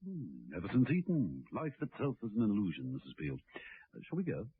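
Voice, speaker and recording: An unflappable gentleman secret agent in a radio drama. His upper-class English voice sits low and moves with lively inflection. He is urbane, understated and drily witty. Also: brisk. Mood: wry. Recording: dull lo-fi old radio recording.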